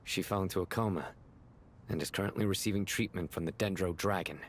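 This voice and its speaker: A stern general acted by a man with a low voice and blunt, formal delivery. He sounds serious and dutiful, like fantasy game dialogue, with a faint rumble behind. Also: fast, lightly acted. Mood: grave, resolute. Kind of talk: game voice acting.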